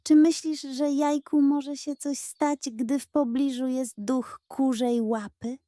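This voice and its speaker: A woman's voice: high-pitched, fairly even intonation, slow pace, mellow, dry recording, studio quality, pitched above the performer's own voice. A woman voicing a sly, greedy male pug in a children's audio tale, talking in a grandiose, mock-solemn, scheming way. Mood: reassuring.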